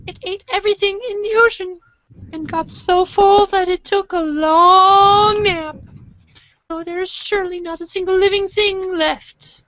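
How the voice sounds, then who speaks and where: A woman's voice: extremely high pitch, steady tone, a little slow, fairly bright tone, no echo. A woman playing an innocent, cryptic talking cat spirit in amateur readers' theater, in a simple singsong manner with meows and purrs. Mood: childlike, wistful. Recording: muffled lo-fi audio.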